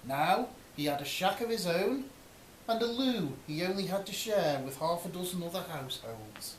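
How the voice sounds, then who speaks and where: A man reading a comic fantasy story aloud as scripted narration. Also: sits high. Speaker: author or reader of a comic fantasy novel. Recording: roomy echo, faint hiss.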